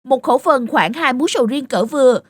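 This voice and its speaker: A woman's voice: high voice, lively intonation, fairly quick, breathy edge, dry recording. A woman voicing a friendly, proud talking durian fruit that explains things eagerly in a children's cartoon. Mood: proud, instructive. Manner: explanatory, sing-song, friendly.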